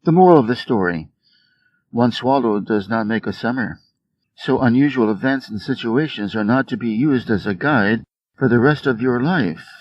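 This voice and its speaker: A man giving a measured, scripted reading of short fables for English learners, enunciating each phrase. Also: medium pitch, animated, muffled recording, a little hiss or hum. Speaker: story reader.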